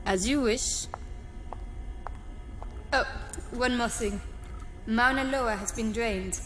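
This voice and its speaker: A woman's voice: fairly high pitch, takes it slowly, fairly bright tone, big-room sound, a little hiss or hum. A woman playing a dictator's coolly sardonic aide in an audio drama. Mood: cool, teasing.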